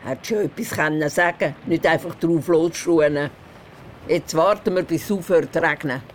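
A woman's voice: deep voice, normal speed, slight background noise, natural voice. Brusque old Swiss German grandmother, played by a woman. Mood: gruff, teasing. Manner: brusque, scolding, teasing. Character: gruff but caring old Swiss grandmother. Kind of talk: radio play dialogue.

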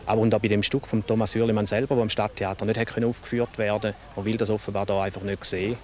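A man's voice: fairly low pitch, little pitch movement, rapid-fire, darkish tone. A man giving an explanatory spoken commentary.

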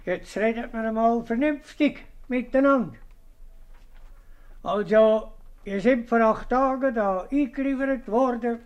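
Casting: ponderous Swiss German town councillor, unhurried, hesitant officialese, vintage radio comedy. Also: male voice, very high-pitched, clean tone, lo-fi sound. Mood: officious. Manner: formal, hesitant, long-winded officialese. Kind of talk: 1950s radio comedy acting.

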